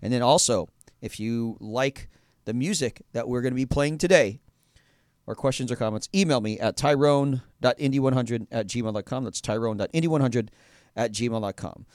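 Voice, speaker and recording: A man's voice: medium pitch, normal speed, a little mellow, smooth, no echo. A man hosting a radio show in a studio, chatting casually, full of fillers and restarts. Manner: rambling, many fillers and restarts.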